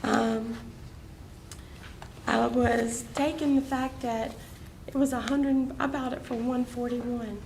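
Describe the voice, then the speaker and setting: A hoarse older woman speaks earnestly, laying out her hardships to a review board. Her voice is heavily gravelly and sits a little high. Noticeable noise runs under the recording of the meeting room. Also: steady tone, takes it slowly, darkish tone, poor audio. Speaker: property owner appealing. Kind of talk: public hearing testimony.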